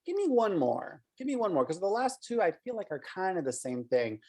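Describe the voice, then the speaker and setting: A woman with a low voice that swings widely in pitch coaches a candidate through interview questions. She probes, restates points, and thinks aloud as she shapes the answers. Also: fairly quick, breathy edge. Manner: animated, probing, thinks aloud.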